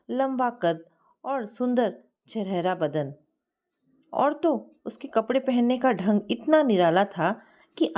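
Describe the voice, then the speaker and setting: A woman narrating a scripted story in an expressive, sentimental manner. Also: mid-range voice.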